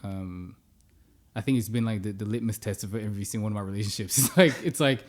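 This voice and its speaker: A man's voice: lowish voice, quick pace. A man in his thirties chatting casually as a podcast guest, in an informal, easygoing way with frequent laughter. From born in the United States, grew up in many countries. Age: 30s. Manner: laughs often, relaxed and informal. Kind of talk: casual chat.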